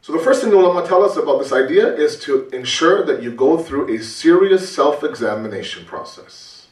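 A man giving a conversational religious talk, animated and full of rhetorical questions and repetition. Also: a little high, brisk. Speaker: preacher. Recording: roomy, distant mic.

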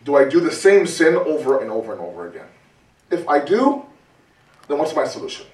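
A man giving a conversational religious talk, animated and full of rhetorical questions and repetition. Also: a little high, fairly quick. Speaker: preacher. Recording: roomy, distant mic.

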